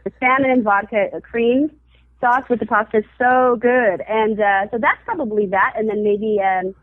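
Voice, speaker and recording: Woman comic guest, chatty and halting, narrow phone-line sound, dry. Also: fairly high pitch, no room sound. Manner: chatty, halting with many fillers and restarts, laughs often. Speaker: comedian guest. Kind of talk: casual interview chat.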